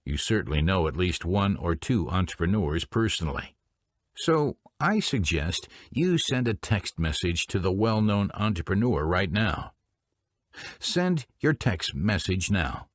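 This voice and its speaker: A man presenting business training in scripted instructional narration, speaking in a formal, deliberate way. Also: sits low, big pitch swings, no echo, clean studio sound.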